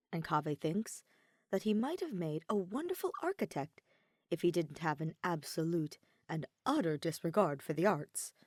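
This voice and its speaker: Expressive woman narrator, lower voice, lively pitch. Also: no echo, clean studio sound. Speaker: podfic narrator reading fan fiction.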